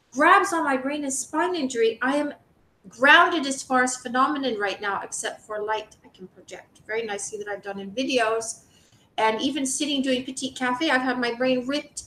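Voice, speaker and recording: A woman giving an informal, rambling spiritual monologue to the public, speaking emphatically and indignantly with some swearing. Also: pushed and bright. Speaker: video creator speaking to the public. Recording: roomy echo, noisy low rumble.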